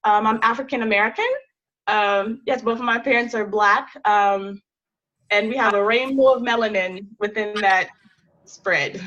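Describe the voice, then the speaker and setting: A woman in her thirties introducing herself on a webinar in an earnest, measured way. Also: medium pitch, a bit bright, lo-fi sound. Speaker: panelist.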